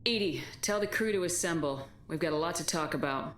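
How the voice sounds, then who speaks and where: An actress playing a tough, skeptical military commander in a video game, blunt and commanding. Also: female voice, low voice, fast talker, a bit bright, a little hiss or hum. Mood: firm, determined. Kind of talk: dramatic video game dialogue.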